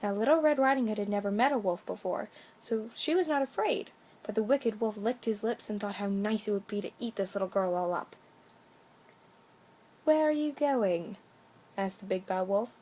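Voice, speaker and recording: A woman's voice: medium pitch, brisk, darkish tone. A woman storyteller reading a children's bedtime story aloud with expression.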